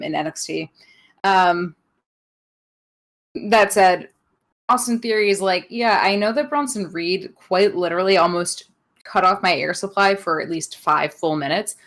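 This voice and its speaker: A woman's voice: fairly low pitch, steady tone, normal speed, a bit bright. Sarcastic woman co-hosting a podcast. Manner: sarcastic, teasing, laughs often. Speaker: podcast co-host. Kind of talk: casual wrestling recap chat.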